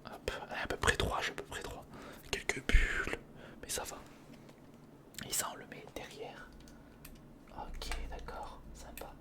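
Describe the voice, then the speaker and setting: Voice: unhurried, pauses often. Whispering ASMR creator. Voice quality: breathy, mostly unvoiced whisper. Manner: self-talking, repeats phrases, informal. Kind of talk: whispered ASMR, casual build commentary. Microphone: close studio recorder microphone.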